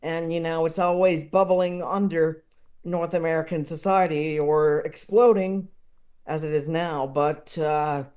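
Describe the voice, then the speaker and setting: A woman's voice: low-pitched, fairly even intonation, unhurried, a little mellow, smooth. A woman chatting casually as a podcast guest, often searching for her words as she goes. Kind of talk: casual chat.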